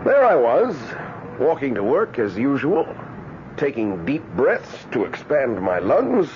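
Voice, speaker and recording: An actor playing an earnest, fussy young librarian who has just died, in a halting, emphatic 1970s radio drama style. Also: male voice, mid-range voice, wildly swooping pitch, a little hiss or hum. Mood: wistful narration. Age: 20s. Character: earnest, fussy young male librarian, newly dead ghost. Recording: muffled old radio broadcast, loud music behind.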